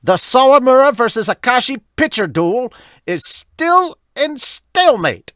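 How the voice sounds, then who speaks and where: Man putting on a booming, high-pitched dramatic announcer. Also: very animated, dry recording, studio quality, exaggerated voice. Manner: loud, emphatic, drawn-out calls. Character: booming, dramatic sports manga announcer and umpire. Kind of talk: theatrical manga dub announcing.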